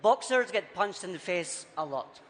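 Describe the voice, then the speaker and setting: A man with a Scottish accent softened by years abroad, giving a punchy, rhetorical conference talk in short, repeated phrases. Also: high-pitched, animated, moderate pace. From Scotland. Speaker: conference speaker. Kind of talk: keynote talk on stage. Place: large conference hall. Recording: reverberant hall, faint background noise.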